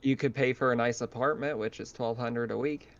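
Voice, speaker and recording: Male game master, medium pitch, clean crisp voice, relaxed explanatory chat. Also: brisk, highs cut off. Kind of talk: casual explanation of game rules and setting.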